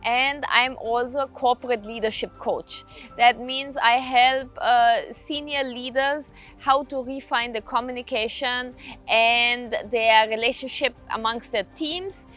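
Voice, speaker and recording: Slow-spoken Austrian woman, bright voice. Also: on the high side, fairly even intonation, soft background music. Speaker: riding instructor and leadership coach. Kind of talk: documentary interview.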